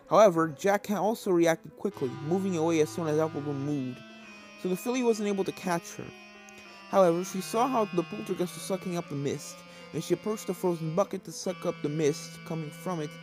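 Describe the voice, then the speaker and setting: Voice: mid-range voice, darkish tone. Story narrator reading over background music. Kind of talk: scripted narration of fan fiction. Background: game soundtrack music.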